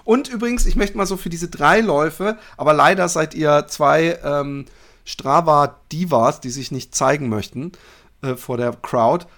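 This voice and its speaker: Male podcast co-host, slightly higher register. Casual banter, cheeky, mock-boastful, unafraid of coarse language. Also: measured pace, fairly bright tone. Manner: teasing, playfully boastful and crude. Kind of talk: casual banter about running.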